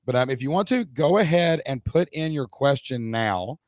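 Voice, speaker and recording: A hoarse middle-aged man hosts a live stream and speaks upbeat and direct to the viewers. His voice sits at an ordinary pitch for a man. The sound is dry and close, with no room echo. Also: expressive, moderate pace, darkish tone. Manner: upbeat, addresses the chat audience directly. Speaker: co-host of a live online Q&A.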